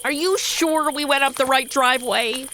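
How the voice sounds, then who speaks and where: A woman's voice: high voice, moderate pace, sharp tone, slight background noise. An actress playing a sassy, exasperated modern young woman with a blunt, snarky manner in a comedy audio drama. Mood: grumbling.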